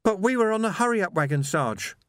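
A young policeman, badly shaken, acted out by a male narrator, in a raised, dry voice. He is naive and distraught, halting and repeating himself as the audiobook scene goes on. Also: high-pitched, animated, a bit bright, no room sound, voice pushed up. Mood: bewildered protest. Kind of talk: audiobook character voice.

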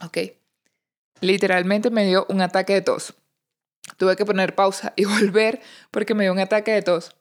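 A woman's voice: medium pitch, flat delivery, moderate pace, pauses often. A woman hosting a casual solo podcast, speaking informally and encouragingly straight to her listeners. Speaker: podcast host.

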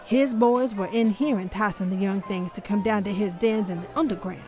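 An actress playing a sly, slangy 1930s speakeasy gal in a noir audio drama, with playful drawn-out speech. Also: female voice, medium pitch, lightly acted. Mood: gossipy. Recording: music behind the voice.

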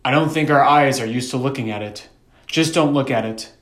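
A man's voice: mid-range voice, a little fast, a bit bright, echoey room, natural voice. A man voicing an earnest, determined older brother, a boy who talks in a reassuring, insistent way in a story. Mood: explaining, reassuring.

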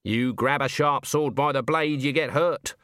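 A man voicing a stern, haughty old woman witch in an audiobook, clipped and deadpan. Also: medium pitch, unhurried, clear, resonant tone, no echo, clean studio sound, lightly acted. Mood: stern, irritated. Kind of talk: audiobook character acting.

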